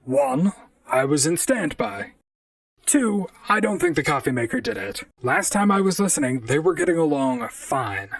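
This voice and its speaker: A robot gumshoe in a radio-style drama, dry-witted and chatty. The pitch swoops widely over a gravelly, creaky edge. The voice sits slightly back from the mic in a dry space. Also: big pitch swings, moderate pace, no room sound, far from the mic. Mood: defensive, reasoning. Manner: dry, conversational first-person noir narration. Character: wry robot private detective, talkative and unflappable. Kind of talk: audio drama acting and narration.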